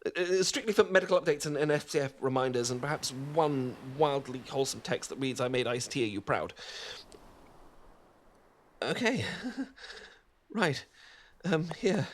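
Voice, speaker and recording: A man's voice: mid-range voice, lively intonation, moderate pace, a little hiss or hum, voice pushed up, natural voice. A man with a British accent playing an awkward, sweet, sunburned young man in an ASMR roleplay, stammering and self-deprecating. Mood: flustered. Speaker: ASMR performer.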